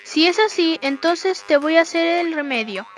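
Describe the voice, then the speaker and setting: A very high-pitched young woman playing a shrewd partridge healer in a radio play. She has a Chilean accent and a matter-of-fact, scolding way of talking, with faint music behind her. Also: lively intonation, normal speed. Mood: businesslike. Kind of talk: amateur school radio play acting.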